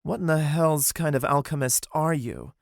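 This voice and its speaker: A man's voice: on the high side, moderate pace, vocal fry, no room sound, clean studio sound, voice pushed up. A man doing a blunt, no-nonsense young woman. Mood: firm. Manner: blunt, firm.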